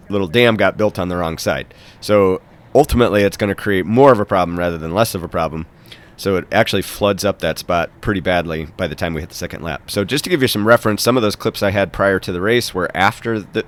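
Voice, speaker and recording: A man with a head cold, stuffed up, chatting casually and rambling a bit as he narrates a recap of his own bike race. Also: low-pitched. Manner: chatty, rambling, self-deprecating.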